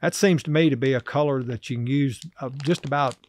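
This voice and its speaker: A middle-aged man, a podcast guest talking casually and haltingly about fishing, full of fillers and restarts. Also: medium pitch, no echo. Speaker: podcast guest, river angler. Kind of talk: casual interview chat. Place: small office studio, indoors. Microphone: desk podcast mic on boom arm.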